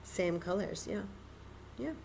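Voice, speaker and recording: A woman's voice: lowish voice, slow, gravelly, darkish tone, slight background noise. A woman hosting a children's story time, patiently and encouragingly demonstrating a craft step by step in simple, casual words.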